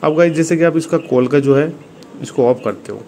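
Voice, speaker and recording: A man's voice: fairly high pitch, brisk, a little mellow. A man with an Indian accent giving a casual, informal hands-on phone review, often addressing his viewers. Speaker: tech reviewer, video host. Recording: clearly noisy room recording.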